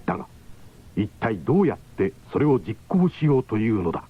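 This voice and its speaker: A man's voice: on the low side, big pitch swings, a little fast, noisy background. Theatrical male radio-drama narrator. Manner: exclaiming, theatrical. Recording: dull lo-fi radio recording with music.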